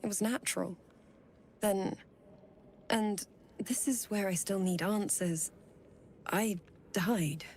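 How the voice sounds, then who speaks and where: A hushed young woman back from death. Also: mid-range voice, a little hiss or hum. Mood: grieving. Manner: slow, hushed, halting storytelling. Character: young woman risen from death, grieving and gentle. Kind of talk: video game voice acting.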